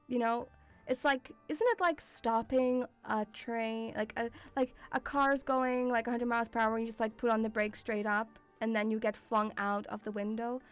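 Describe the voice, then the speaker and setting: A woman with a mixed European accent touched by Scottish, chatting casually as a livestream host in an excitable, rambling, sweary way. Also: fairly high pitch. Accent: mixed European with Scottish influence. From Lithuanian-Russian background, partly grew up in Scotland.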